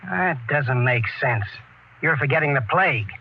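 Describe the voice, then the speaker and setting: A man's voice: on the high side, lively intonation, normal speed. An actor playing a plainspoken, skeptical space crewman in a 1950s American radio drama, questioning and protesting. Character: plainspoken, skeptical male crewman who survived a plague. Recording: dull, noisy old radio recording.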